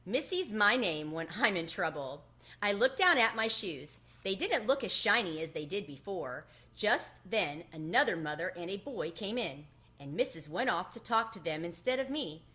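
Woman reading a kids book out loud, really lively pitch, bright voice. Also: normal speed, hardly any pauses. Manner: animated, storytelling delivery. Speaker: book reader. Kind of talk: expressive children's read-aloud. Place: home room with bookshelves, indoors. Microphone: webcam at desk distance.

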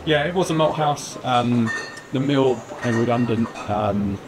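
A man's voice: medium pitch, a little slow. A man in his thirties with a southern English accent, speaking casually and informally in an interview as a local business owner. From Lyme Regis, southern England. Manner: informal, hedging with "kind of" and "you know". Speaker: brewery owner being interviewed. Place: outdoor courtyard by a stone wall. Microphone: lapel mic. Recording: clear outdoor street noise.

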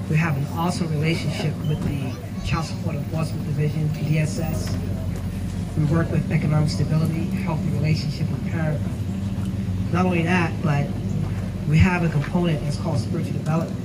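A middle-aged man from New York, a program director, giving a measured, emphatic speech into a handheld mic on an outdoor stage. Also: a little high. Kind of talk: motivational speech. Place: outdoor stage under a tent. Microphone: handheld mic, far camera. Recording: distant camera, loud outdoor noise.

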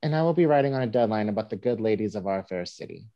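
A man in a play reading, voicing a gentle, besotted newspaperman of the Old West. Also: fairly low pitch, lively intonation, fast, dull audio. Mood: tender, admiring. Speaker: actor in an online staged reading. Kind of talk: scripted stage play reading. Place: indoors at home, on a group video call.

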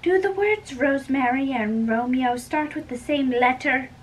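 A woman acting a fussy, chatty old nurse in an amateur dramatic play reading, with drawn-out delivery. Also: high-pitched, pitched above the performer's own voice, lightly acted. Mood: confiding. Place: small bedroom. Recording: distant mic, room noise.

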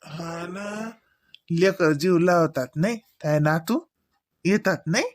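A man voicing an elderly, protective village father in a comedy cartoon, with a halting, homely manner and a rural Varhadi Marathi accent from Vidarbha. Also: on the high side, no echo, studio quality. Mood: concerned, advising.

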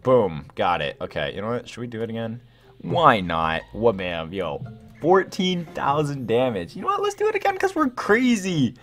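A young man giving casual gameplay commentary in an excitable, slangy way. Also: mid-range voice, normal speed, faint music behind. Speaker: gaming video host.

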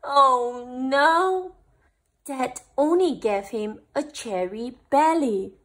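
A woman storyteller reading a picture book aloud for children, with animated, deliberate phrasing. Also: high-pitched.

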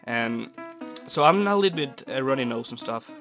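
A young man from Norway, raspy and stuffy from a cold, rambling easily with many fillers while commenting on a building game. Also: medium pitch, lively intonation, normal speed. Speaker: Minecraft builder. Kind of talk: casual gameplay commentary. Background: soft guitar music.

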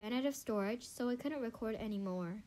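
A young woman with a congested, stuffy voice, casually commenting on her gameplay, chatty and excitable with many fillers. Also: fairly high pitch, a little fast. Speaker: gaming channel host. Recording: faint music behind. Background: faint game music.

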